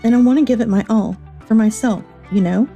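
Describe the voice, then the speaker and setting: A woman's voice: fairly high pitch, a little fast, dark tone, lo-fi sound, soft background music, lightly acted. An actress playing an earnest, contrite young woman, a president's daughter, in a manga dub. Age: young adult.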